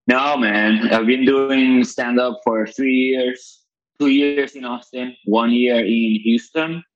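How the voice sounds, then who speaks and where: A Venezuelan man in his thirties talks casually as a podcast guest, swearing freely and stopping to restart his sentences. His voice sits at an ordinary pitch for a man. The audio is muffled and lo-fi, as if coming over a weak internet call. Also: steady tone, slow. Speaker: guest comedian.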